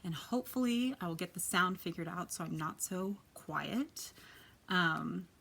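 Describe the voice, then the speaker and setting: A woman in her thirties with a quiet, soft voice, chatting casually as she gives a tarot reading. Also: fairly low pitch, lively intonation, a little slow, gravelly. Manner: chatty, lots of ums and likes. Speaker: tarot reader.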